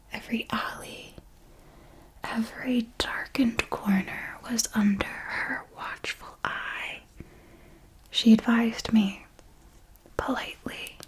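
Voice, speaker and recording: A woman playing an ancient, refined female vampire mentor in an ASMR roleplay, telling her story in a deliberate, theatrical and elegant manner. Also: a little high, poor audio. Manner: slow, deliberate, theatrical, elegant old-fashioned phrasing.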